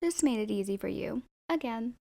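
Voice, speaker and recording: A woman with a high voice that has gone hoarse, talking through a scripted voice-over. She rates things with playful, sarcastic jabs and strong opinions. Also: lively intonation, moderate pace, warm tone. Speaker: YouTuber reviewing music video thumbnails.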